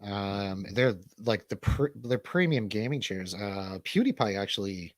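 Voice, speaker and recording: A man's voice: on the low side, expressive, measured pace, no room sound. A man co-hosting a livestream in casual banter, with a rambling, slangy, jokey way of talking. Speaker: livestream co-host.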